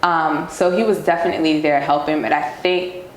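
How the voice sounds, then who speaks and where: Woman guest, low voice, loose rambly delivery full of "like", recorded in an echoey room. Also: steady tone, moderate pace, slight background noise. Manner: rambling, heavy use of "like" fillers. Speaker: podcast guest. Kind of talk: casual personal storytelling.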